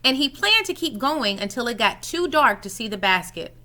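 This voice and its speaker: A woman reading a children's story aloud in an expressive, animated way, dramatizing it as she goes. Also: on the high side, moderate pace.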